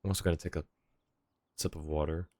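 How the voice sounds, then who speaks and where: A man with a low voice, streaming a game and chatting with viewers. He is easygoing and polite, thinks aloud, and is recorded close and dry. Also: fairly even intonation, fast, a little mellow, no room sound. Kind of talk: casual livestream chat.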